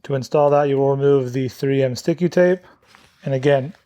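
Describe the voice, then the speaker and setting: A man's voice: mid-range voice, moderate pace, mellow. A man narrating a step-by-step product installation tutorial as a demonstrator.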